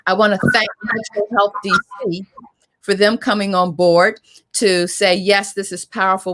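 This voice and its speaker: A woman's voice: mid-range voice, fairly bright tone. A woman with a mid-range voice acts as the host of a panel. She moderates informally, speaking directly to guests and audience in a conversational way.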